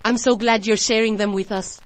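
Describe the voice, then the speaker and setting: A woman playing a gentle, wistful mother who is new in town, in a radio drama, speaking politely and tentatively. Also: fairly high pitch, fairly even intonation, a little fast, natural voice. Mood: tender.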